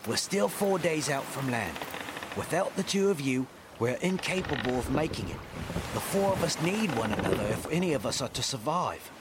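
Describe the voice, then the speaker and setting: A man's voice: medium pitch, very animated, moderate pace, very noisy. A man playing a loyal, dutiful first officer on a sailing ship in an audio drama, formal and deferential in manner. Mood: earnest, persuasive. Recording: loud ship and sea ambience behind.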